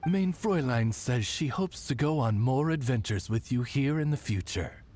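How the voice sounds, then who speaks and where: A man's voice: medium pitch, lively intonation, moderate pace, a little mellow, slight background noise, natural voice. A man playing a courtly, devoted retainer in a video game, speaking with formal, eloquent courtesy. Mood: courteous. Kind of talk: video game cutscene acting.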